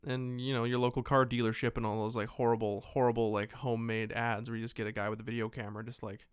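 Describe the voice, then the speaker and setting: A man's voice: medium pitch, little pitch movement, fast talker, clean tone, no room sound, clean studio sound. A man co-hosting a podcast, chatting casually about films in a rambling, jokey way with some swearing. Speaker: podcast co-host. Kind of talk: casual film discussion chat.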